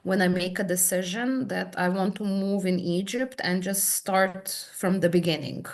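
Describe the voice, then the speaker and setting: A woman, a therapy client describing her experience in halting, searching speech full of restarts. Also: medium pitch, steady tone, creaky. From Serbia. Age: 30s. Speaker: hypnotherapy client guest. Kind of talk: personal testimony in conversation.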